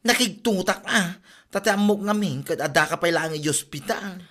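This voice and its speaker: A woman's voice: fairly low pitch, moderate pace, a bit bright. A woman playing an exclamatory, teasing village neighbour with an Ilocano accent in a gossipy comedy drama podcast. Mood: startled, teasing.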